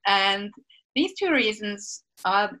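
A woman's voice: mid-range voice, animated, takes it slowly, bright, forceful tone, poor audio. A woman in her fifties with a Swiss accent, hosting a podcast in a casual, chatty style. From Switzerland. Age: 50s. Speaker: podcast host.

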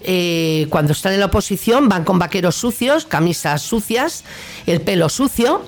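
A woman's voice: lowish voice, lively intonation, moderate pace. Indignant Castilian Spanish woman radio host. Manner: indignant, sarcastic, colloquial. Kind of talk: political opinion monologue.

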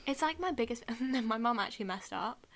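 Young woman chatting, lively, laughs a lot, bit high voice. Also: a little fast. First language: Arabic. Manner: animated storyteller, laughs often. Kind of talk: casual chat.

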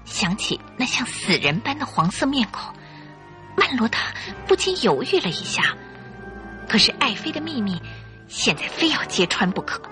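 A woman narrating a radio drama in an expressive, suspenseful storytelling style. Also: a little high, moderate pace, music in the background.

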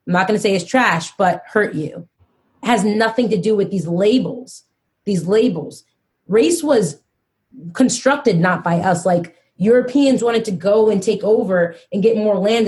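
A woman's voice: medium pitch, darkish tone. Earnest woman podcast host. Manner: earnest, measured, slows down to stress points. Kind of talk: casual podcast discussion.

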